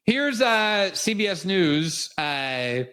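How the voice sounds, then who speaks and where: Indignant man, fairly high voice. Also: sing-song, extremely slow, sharp tone, clean tone. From South Florida, USA. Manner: emphatic, indignant, cutting. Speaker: host. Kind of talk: political commentary monologue.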